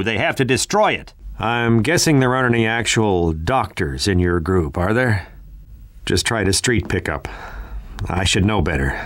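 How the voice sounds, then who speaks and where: An actor playing an urgent news helicopter pilot in a zombie video game, with forceful radio-style delivery. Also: male voice, on the low side, reverberant. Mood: grim, weakening.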